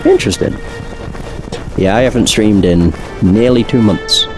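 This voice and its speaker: A man streaming a game he has never played, chatting casually and now and then swearing, his voice still deeper than usual as he recovers from illness. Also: unhurried, rough recording. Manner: chatty, relaxed, occasional swearing. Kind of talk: casual gaming commentary. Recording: game music playing behind.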